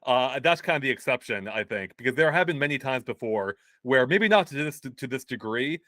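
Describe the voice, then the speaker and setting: A man's voice: mid-range voice, animated, bright, forceful tone, dry recording, studio quality. A man appearing as a guest on a gaming chat show, laying out release history precisely and explaining his points. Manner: quick, explanatory, precise about dates. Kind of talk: casual video game chat.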